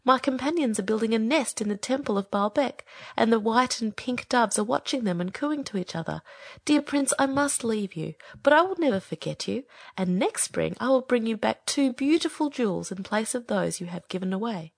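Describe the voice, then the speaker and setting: A woman's voice: a little high, expressive, normal speed, creaky, dry recording, studio quality. A woman playing a small, good-hearted male swallow in a storybook, speaking earnestly and expressively. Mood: devoted, tender. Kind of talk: acted storybook dialogue.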